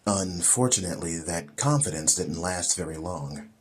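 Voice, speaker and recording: A man narrating a scripted audiobook story in a measured, deliberate, dramatic storytelling style. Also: deep voice, lively intonation, a crowd in the background.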